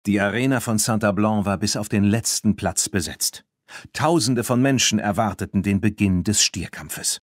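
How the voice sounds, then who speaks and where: Guy reading a kids audiobook, fairly deep voice, clear measured storytelling, close dry sound. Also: a little mellow, no echo. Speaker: audiobook narrator. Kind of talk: scripted children's audiobook narration, reading characters' lines.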